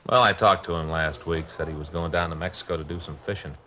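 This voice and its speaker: A man's voice: low-pitched, fairly even intonation, fast talker, sharp tone. A man with an American accent playing a folksy, wry police colleague in a 1950s radio drama, talking in casual slang. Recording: muffled, noisy old radio recording.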